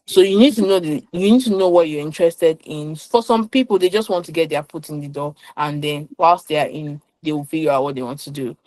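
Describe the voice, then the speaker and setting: A woman's voice: sits low, brisk, few pauses, a little mellow, dry recording, poor audio. A young woman with a Nigerian accent, a guest in an interview, explaining things earnestly and often restarting her sentences. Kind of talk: conversational interview.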